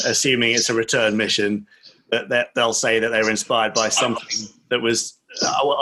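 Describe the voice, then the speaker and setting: Bright-toned man hosting casually. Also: mid-range voice, poor audio. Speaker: podcast host. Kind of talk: casual conversational interview.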